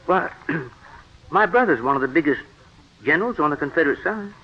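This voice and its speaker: An actor in a 1940s radio drama playing a chatty, obliging nineteenth-century librarian. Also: male voice, a little high, expressive, moderate pace, slightly rough. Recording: muffled vintage radio recording.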